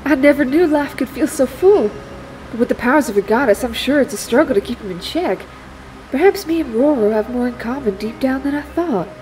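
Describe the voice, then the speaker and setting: A woman acts as a young Southern US mutant heroine in an audio drama. Her voice sits on the high side and slightly away from the mic. She is folksy and defiant, guarded and quick to flare. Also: expressive, a little mellow, far from the mic, a little hiss or hum, low-quality recording. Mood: awed.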